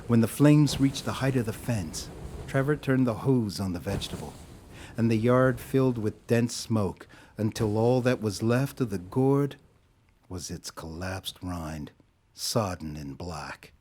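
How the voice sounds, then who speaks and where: A man narrating an audio drama in a measured, deliberate storytelling style. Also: lowish voice, lively intonation, a little mellow, a little hiss or hum.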